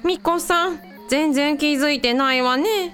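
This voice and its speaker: 女性が演じる高い声のおっとりした母親. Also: female voice, high-pitched, a little slow, a bit bright, soft background music. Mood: mildly curious. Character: gentle, easygoing, sympathetic mother. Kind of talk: amateur anime-like radio drama acting.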